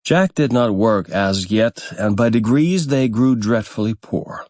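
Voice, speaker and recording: A man reads a fairytale as an audiobook narrator. His voice sits fairly low and he takes his time, with very slow, deliberate phrasing. The sound is dry and close, with no room around it. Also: darkish tone, dry recording.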